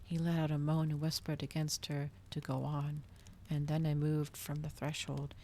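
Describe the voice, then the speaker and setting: A woman streaming an ASMR storybook reading in a hushed voice that often slips into whispers. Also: deep voice, monotone, normal speed, slight background noise.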